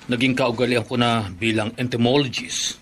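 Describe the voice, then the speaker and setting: A man playing a widowed middle-aged father in a radio drama, speaking softly and earnestly. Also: medium pitch, moderate pace, slight background noise, lightly acted. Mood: gentle.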